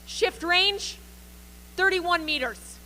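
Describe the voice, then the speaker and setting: A woman's voice: very high voice, takes it slowly, bright, forceful tone, slight background noise, full character voice. A woman playing an urgent, commanding artillery officer in a live radio play, barking clipped military orders. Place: school gym used as theater.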